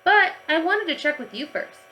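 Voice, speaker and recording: Woman as Leslie, an animated, stubborn little schoolgirl. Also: very high-pitched, normal speed, a little hiss or hum, voice pushed up, exaggerated voice. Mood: reasoning. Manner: earnest, insistent.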